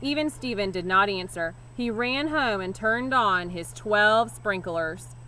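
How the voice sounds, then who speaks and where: A woman teacher reading a children's story aloud expressively, leaning deliberately on key words. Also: medium pitch, bright, forceful tone, poor audio. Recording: clear background noise.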